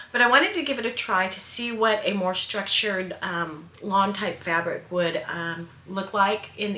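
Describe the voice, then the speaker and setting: A woman in her sixties with a stuffy nose and sore throat, chatting excitedly and casually to camera as a sewing vlogger in her sewing room. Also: mid-range voice, slight background noise. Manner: chatty, excitable, many fillers. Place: home sewing room, indoors. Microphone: camera at desk distance. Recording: echoey room.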